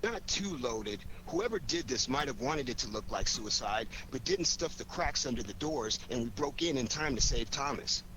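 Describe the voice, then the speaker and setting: An actor playing a wry, confident, commanding amateur detective in a 1940s radio crime drama. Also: male voice, medium pitch, lively intonation, bright, forceful tone. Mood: dry confidence. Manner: wry, quick-witted, commanding. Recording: noisy old 1940s radio recording.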